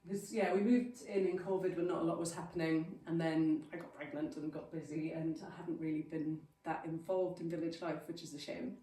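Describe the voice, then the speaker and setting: A woman's voice: low voice, steady tone, fairly quick, hardly any pauses, mellow, a little hiss or hum. Chatty Welsh woman in an echoey hall. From Wales. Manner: chatty, self-deprecating, conversational. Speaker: guest speaker. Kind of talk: informal talk to a local group. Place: village hall. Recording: echoey hall, mic at a distance.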